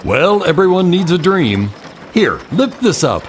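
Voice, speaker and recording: A man's voice: high-pitched, big pitch swings, unhurried, a little hiss or hum. An actor playing a dry, teasing older martial arts mentor, a man, in an audio drama. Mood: wry.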